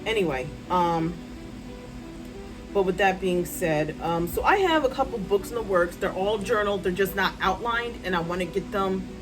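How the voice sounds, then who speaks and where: A woman in her thirties, a writer, chatting casually to her phone's front camera at home, loud-voiced and rambling with many ums and asides. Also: medium pitch, animated, moderate pace, over music, rough recording. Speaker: writer vlogger. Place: hot room at home with a fan.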